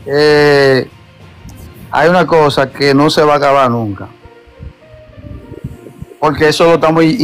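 A man's voice: on the high side, rough recording. A man from the Dominican Republic with a Dominican accent, arguing insistently on a group call, repeating himself to hold the floor. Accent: Dominican Spanish. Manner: insistent, talks over others, repeats himself. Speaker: caller in online debate. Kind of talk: heated debate. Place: home, living in the United States.

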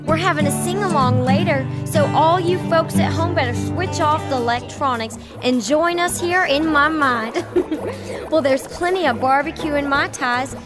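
Very high-voiced, folksy Southern girl played by a woman. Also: moderate pace, over music, lightly acted. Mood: cheerful, inviting. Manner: folksy, giggly. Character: giggly, folksy Southern girl entertainer, cheerful. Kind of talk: radio comedy acting.

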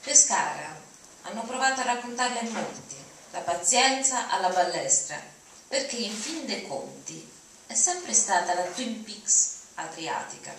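A woman's voice: lowish voice, a bit bright. A middle-aged woman giving a dramatic reading of written prose at a lectern. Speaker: dramatic reader. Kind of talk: dramatic reading of essays aloud. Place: auditorium at a lectern. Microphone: lectern mic, recorded from audience. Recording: echoey hall from far off, faint noise.

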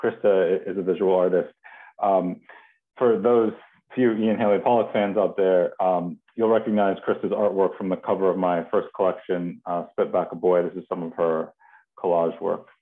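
A man in his thirties or forties informally introducing readers as host on a video call, halting and off-the-cuff, with muffled, narrow audio. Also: low voice, level tone. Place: home kitchen, indoors. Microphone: video call, laptop.

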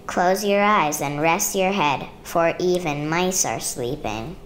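A woman reading a children's picture book aloud in measured, rhythmic rhyme. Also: on the low side, slight background noise. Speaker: storybook reader.

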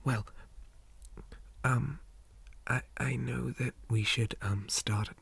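A man playing a shy, old-fashioned zombie boy on a date in an ASMR roleplay, speaking softly and breathily, often near a whisper, with hesitant stammers and formal wording. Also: medium pitch, steady tone, slow pace, creaky, husky, no room sound, full character voice. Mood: shy, excited. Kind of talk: ASMR roleplay, soft half-whispered talk.

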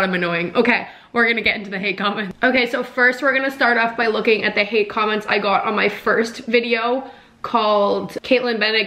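Young woman vlogger, sick and raspy, animated and sarcastic, fairly high voice, filmed at home at desk distance. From Canada. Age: young adult. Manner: animated, sarcastic, laughs often. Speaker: YouTuber. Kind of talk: casual vlog, reading comments. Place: home kitchen, indoors.

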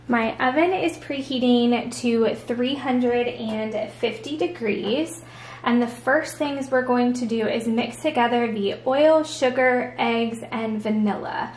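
A woman giving a casual, friendly and chatty recipe walkthrough as a cooking vlogger. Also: a little high. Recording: echoey room, music underneath. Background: background music.

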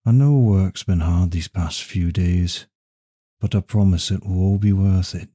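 Devoted CEO boyfriend played by a male actor, dark mellow tone, very slow and intimate ASMR roleplay. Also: low-pitched. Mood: calm, tender. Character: devoted, secretive male CEO romancing his secretary. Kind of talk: intimate ASMR roleplay acting, one side of a dialogue.

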